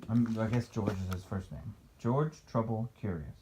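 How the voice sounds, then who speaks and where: A man's voice: lowish voice, normal speed, noisy background. A man reading a picture book aloud as a father to a child, playful, with casual asides and questions.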